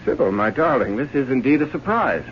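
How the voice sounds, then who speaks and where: An actor playing a vain, anxious young gentleman in an old-time radio drama, halting and theatrical, repeating his phrases. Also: medium pitch, sing-song, lightly acted. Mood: placating, evasive. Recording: muffled old radio broadcast with hiss.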